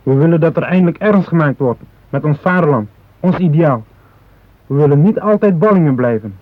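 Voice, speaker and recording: A man's voice: on the high side, expressive, fairly quick, no echo. An actor playing a determined, idealistic male hijacker in a radio play, speaking in solemn, insistent declarations. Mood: defiant. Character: determined Moluccan hijacker, idealistic, grave, unyielding. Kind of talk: radio drama dialogue. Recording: dry, thin phone-like band.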